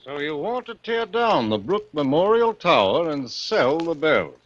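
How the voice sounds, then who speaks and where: An actor playing a formal, businesslike family attorney in a 1940s radio drama. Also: male voice, fairly high pitch, sing-song, unhurried. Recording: muffled vintage radio broadcast.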